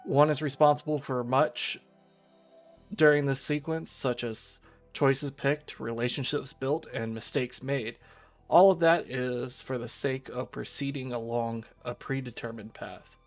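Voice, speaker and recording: A man reading the visual novel narration of a fatalistic, aloof teenage schoolgirl outsider, in a measured storytelling way. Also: medium pitch, lightly acted. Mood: solemn. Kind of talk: scripted visual novel narration, read aloud.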